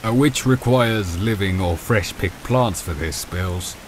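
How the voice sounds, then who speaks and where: Man playing a low-voiced, mild, earnest young healer. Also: takes it slowly, background noise. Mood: apologetic, earnest. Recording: loud music and rain behind. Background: game music and rainfall.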